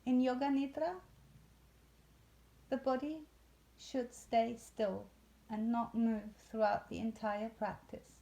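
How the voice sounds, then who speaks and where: A woman leading a guided yoga nidra meditation in a soothing, unhurried way with long pauses. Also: on the high side, fairly even intonation, gravelly, warm tone, rough recording.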